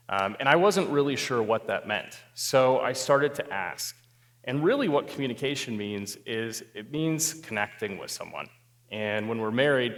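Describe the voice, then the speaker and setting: A marriage therapist speaks to a live crowd in an easygoing way, poking fun at himself along the way. His middle-pitched voice carries a long reverb tail from a big hall. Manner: relaxed, self-deprecating humor. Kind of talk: talk to a live audience.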